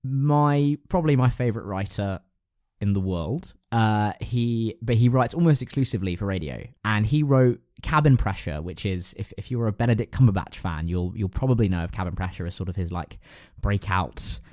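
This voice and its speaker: A man's voice: fairly low pitch, normal speed, darkish tone, no room sound, clean studio sound. A man hosting a podcast, chatting casually and explaining things in a rambling, enthusiastic way. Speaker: podcast host. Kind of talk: casual podcast chat about plays.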